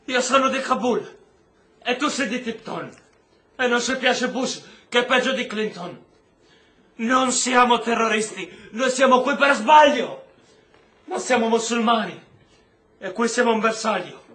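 A very high, bright male voice in a roomy, echoing space as a gentle prisoner from Kabul. He is a loyal, caring friend who speaks with earnest, stagey feeling and measured pauses. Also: expressive, exaggerated voice. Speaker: actor. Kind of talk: Italian stage-style radio drama acting.

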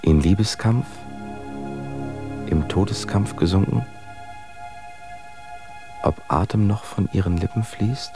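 An actor playing an anguished romantic poet, reciting feverish verse with brooding solemnity and a gravelly voice in a radio drama. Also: male voice, on the low side, slow pace, long pauses, over music.

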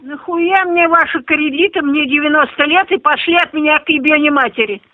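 A woman's voice: high voice, fairly quick, no echo. A woman in her nineties, crude and quarrelsome, arguing indignantly and swearing heavily over the telephone. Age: 90s. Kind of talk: profane, indignant phone argument. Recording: tinny narrowband phone line.

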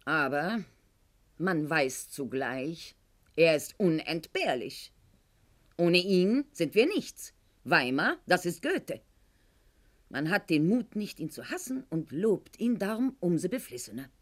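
An actress playing a proud, aging aristocratic wife at court in a radio play, speaking formally and precisely, with haughty theatrical declamation. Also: female voice, on the low side, very animated, slow, heavily acted. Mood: indignant, bitter.

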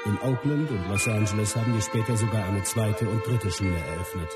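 A man's voice: on the low side, brisk, natural voice. An actor playing a confident, blunt, philosophical martial arts master telling his story in a radio play. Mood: matter-of-fact. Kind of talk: scripted first-person narration in a radio play. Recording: loud music under the voice. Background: music.

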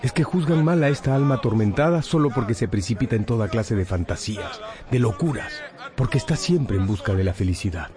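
An actor playing a suave, cynical rich bachelor in a radio drama, smooth, insinuating and theatrically gallant. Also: male voice, mid-range voice, lively intonation, fairly quick, a crowd in the background, lightly acted. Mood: wistful seduction.

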